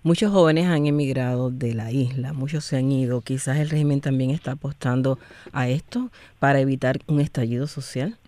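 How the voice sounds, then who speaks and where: A man's voice: medium pitch, brisk, dark tone, dry recording. A man hosting a news interview, formal and direct. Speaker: news host.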